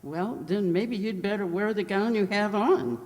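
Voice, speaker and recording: A woman's voice: mid-range voice, moderate pace, darkish tone, natural voice. A woman playing a blunt, wisecracking household maid, deferential yet drily teasing, in an old-time radio sitcom. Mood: helpful, wry. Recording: echoey hall, long reverb.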